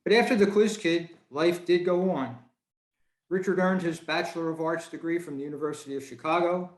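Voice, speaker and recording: An American man giving a prepared, formal introduction of a guest at a club meeting. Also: on the high side. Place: indoors on a video call. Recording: echoey room on video call.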